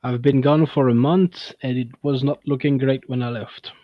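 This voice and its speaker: A man's voice: mid-range voice, steady tone, normal speed, no echo, highs cut off, lightly acted. A man voicing an earnest Russian soldier in a tabletop game, speaking clipped, broken English with a put-on Russian accent.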